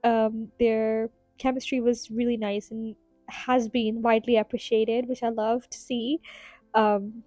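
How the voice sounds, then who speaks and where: Casting: higher-voiced woman, rambling podcast host, giggly, voice tiring, dry close mic. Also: flat delivery, a little slow, a little mellow, no echo, soft background music. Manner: chatty, giggly, rambling with fillers. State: tired, strained voice. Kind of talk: casual solo podcast ramble.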